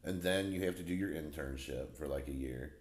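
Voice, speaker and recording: A man's voice: extremely low pitch, a little fast. A man in his forties hosting a casual podcast chat, talkative and slangy, laughing easily. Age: 40s. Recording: roomy echo.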